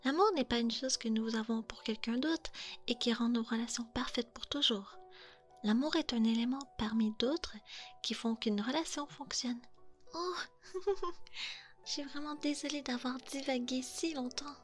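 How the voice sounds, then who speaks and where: A woman playing a polite, thoughtful fox spirit in an ASMR roleplay, speaking softly and earnestly with a breathy, measured delivery. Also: a little high, faint music behind, lightly acted. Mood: apologetic, shy. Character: thoughtful, polite female kitsune fox spirit, gently lecturing.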